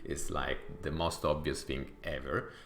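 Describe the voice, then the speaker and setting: A very low, gravelly male voice with a clear Italian accent, talking a game through step by step. He explains his choices patiently, pausing on fillers as he thinks. The sound is roomy with a faint hiss behind it. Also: fairly even intonation, a bit bright. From Sicily, Italy. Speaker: gaming YouTuber. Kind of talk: casual gameplay commentary. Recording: echoey room with faint noise.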